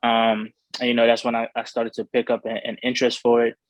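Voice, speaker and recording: Flat-toned young man from Cameroon. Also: medium pitch, fairly quick, dry recording. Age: young adult. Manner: rambling, many fillers and restarts. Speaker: rapper being interviewed. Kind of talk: casual interview talk.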